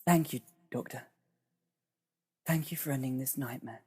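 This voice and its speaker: A man playing an earnest, awed and grateful painter in an audio drama, deferential, with a husky voice. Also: medium pitch, moderate pace, a little mellow.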